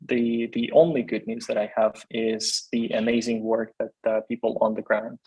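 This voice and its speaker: Halting Ukrainian-accented man, flat delivery. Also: lowish voice, breathy edge, dull audio. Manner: halting, many fillers and restarts. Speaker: guest speaker. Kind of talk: video call update. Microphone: video call.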